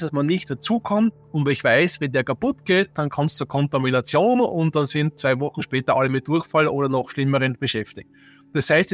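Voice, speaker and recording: A man's voice: on the high side, fairly bright tone. A man giving an interview answer, thinking aloud with restarts as he explains. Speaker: interviewed expert.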